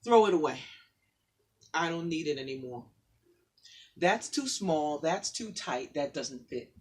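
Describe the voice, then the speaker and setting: A woman's voice: deep voice, expressive, slightly rough. Woman poet, rhythmic spoken word delivery. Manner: soft and halting in conversation, rhythmic and declamatory reading her poem. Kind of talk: spoken word poetry reading in a casual faith conversation.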